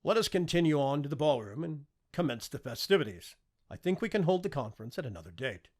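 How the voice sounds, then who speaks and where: A man voicing a commanding, confident king with a formal, forceful delivery in an audiobook. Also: on the high side, very animated, quick pace. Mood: jovial. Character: commanding, confident king passing stern sentence. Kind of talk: audiobook character dialogue.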